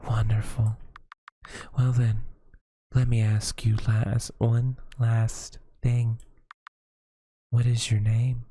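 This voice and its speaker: A man voicing a lonely, motherly witch woman who lives alone in the woods, speaking gently and hesitantly with sighs. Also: lowish voice, steady tone, slow pace, long pauses, slight background noise. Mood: tender. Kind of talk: audio roleplay acting.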